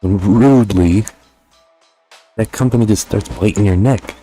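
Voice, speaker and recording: Deep-voiced man reviewing a game, music behind. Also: measured pace, warm tone. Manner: conversational, slangy, profane. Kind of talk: casual scripted game review voice-over.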